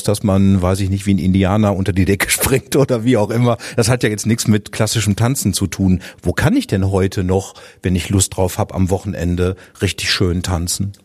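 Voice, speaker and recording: A man's voice: low voice, a little mellow. A man hosting an interview, leading into his questions at length in a conversational way. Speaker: interviewer, host. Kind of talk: conversational interviewing with long lead-ins.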